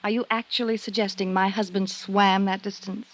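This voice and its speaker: Casting: actress for a cool, slippery suspect in a radio detective play, mid-pitched, pointed and theatrical. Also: female voice, level tone, normal speed, close to an everyday voice. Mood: cool, mocking. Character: cool, evasive married woman suspected of insurance fraud. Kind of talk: old-time radio drama acting.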